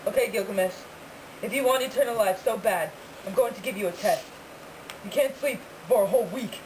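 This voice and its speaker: A man's voice: sits high, brisk, darkish tone, a little hiss or hum, close to an everyday voice, poor audio. A teenage boy voicing a bossy old male sage in a homemade puppet show. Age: teens. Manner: bossy, commanding.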